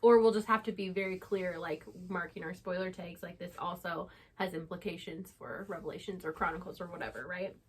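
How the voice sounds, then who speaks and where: A woman's voice: lowish voice, steady tone, brisk, hardly any pauses, husky. A woman co-hosting a book chat, explaining things conversationally with plenty of filler "like". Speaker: book channel co-host.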